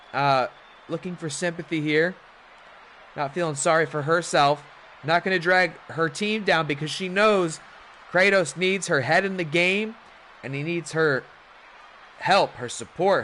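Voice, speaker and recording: A hoarse man commentating a wrestling video game on a stream, with animated, chatty hype. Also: on the high side, a little hiss or hum. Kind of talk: play-by-play commentary.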